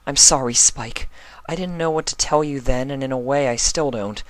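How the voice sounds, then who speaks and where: A man voicing a caring, anxious young female unicorn with a gentle, emotional, pleading manner. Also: mid-range voice, moderate pace, dry recording, voice pushed up, lightly acted. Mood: apologetic. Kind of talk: acted fan fiction reading.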